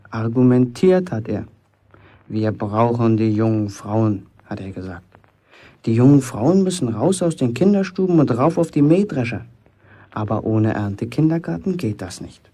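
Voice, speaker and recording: A young village apprentice bricklayer in a radio play, eager to impress. His voice is gravelly and mellow, with a husky edge. He talks colloquially and boastfully, as if confiding his own importance. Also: medium pitch. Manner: boastful, chatty inner monologue, colloquial. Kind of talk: German radio play acting, first-person monologue.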